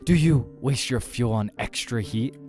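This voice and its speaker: A man's voice: mid-range voice, measured pace, music in the background, pitched above the performer's own voice, close to an everyday voice. A man voicing a menacing, predatory female eldritch creature from a visual novel, with commanding, formal, alien phrasing. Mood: predatory curiosity. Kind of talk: acted visual novel dialogue.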